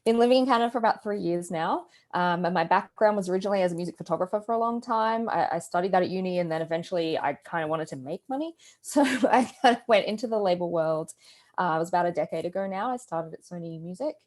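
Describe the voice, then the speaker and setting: A woman with an Australian accent, talking relaxed and chatty as a music marketing panelist on a video call. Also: fairly low pitch, fast. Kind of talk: self-introduction on a video panel.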